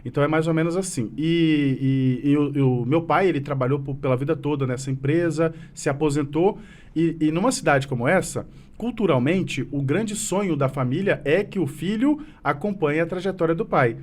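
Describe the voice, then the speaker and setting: A man's voice: a little high, moderate pace, a little mellow. Earnest mineiro man in his thirties. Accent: mineiro Brazilian Portuguese, fading. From Minas Gerais, Brazil. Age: 30s. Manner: earnest, rambling with many restarts and fillers. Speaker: business association president, guest. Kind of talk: casual interview conversation.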